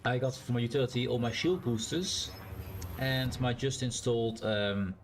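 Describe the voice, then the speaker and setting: A man with a naturally loud voice and a strained, sore throat, thinking aloud in a rambling way as he streams a game. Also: mid-range voice, moderate pace, a little hiss or hum. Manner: rambling, thinks aloud with fillers and self-corrections. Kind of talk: casual live-stream gameplay commentary.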